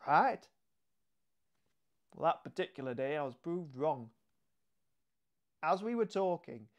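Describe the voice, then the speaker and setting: A small, stern sceptic tells his tale as a man acts him out for a children's audiobook. He is blunt, colloquial and emphatic, pitched a little high. Faint music plays behind him. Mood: stubborn, sceptical. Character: Thomas, small stern male sceptic, stubborn storyteller. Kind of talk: children's audiobook character reading.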